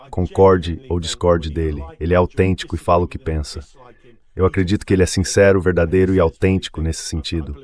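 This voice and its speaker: A man's voice: deep voice, a little mellow. A synthetic male voice dubbing a political interview in a conversational style. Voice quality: synthetic text-to-speech voice. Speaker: AI dub voice translating an interview.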